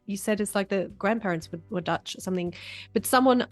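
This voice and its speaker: A woman's voice: medium pitch, brisk. A woman, middle-aged, hosting a casual conversational interview and often repeating words as she feels for the phrase. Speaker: podcast host.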